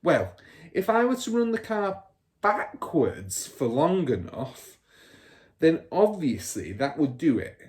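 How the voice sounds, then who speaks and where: A man's voice: on the high side, sing-song, takes it slowly, a little mellow, pitched above the performer's own voice. A man voicing a boastful, crooked middle-aged car dealer and rude father in a storybook, bragging and scornful with heavy emphasis. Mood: animated bragging.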